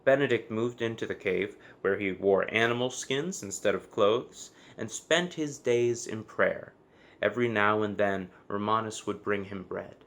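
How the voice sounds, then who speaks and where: A man's voice: lowish voice, a little slow, gravelly, fairly bright tone. Man reading a children's story aloud. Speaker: storybook reader.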